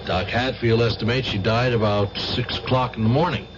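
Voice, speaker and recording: An actor in a radio drama playing a methodical police lieutenant, deliberate and official as he questions a suspect. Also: mid-range voice, steady tone, close to an everyday voice. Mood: probing, measured. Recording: muffled, noisy old radio recording.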